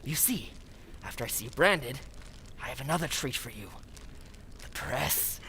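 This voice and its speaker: Radio villain, played by a man: timid then cackling and shouting, sharp gravelly voice. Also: medium pitch, lively intonation, moderate pace, slight background noise. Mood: menacing. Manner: mild and hesitant, then gleeful and shouting. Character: meek man turned cackling sadistic killer. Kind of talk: 1940s radio acting.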